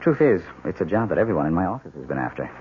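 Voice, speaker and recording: Easygoing husband for radio play: deep, brisk, self-assured, on a slightly dull old recording. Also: male voice, sing-song, a little hiss or hum. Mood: polite, confident. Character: confident, easygoing newlywed husband, a corporate consultant. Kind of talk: old-time radio drama acting.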